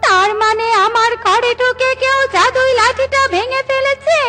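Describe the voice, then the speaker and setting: A woman's voice: very high voice, moderate pace, bright, forceful tone, voice pushed up. A woman voicing a menacing, easily alarmed forest witch in a children's cartoon, exclaiming and questioning. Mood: panicked.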